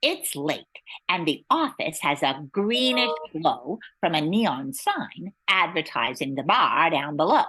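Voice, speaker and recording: A woman's voice: low voice, very animated, a little slow, sharp tone, slight background noise, full character voice. A woman playing a formal, easily exasperated announcer and narrator in an old-time radio show. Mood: storytelling.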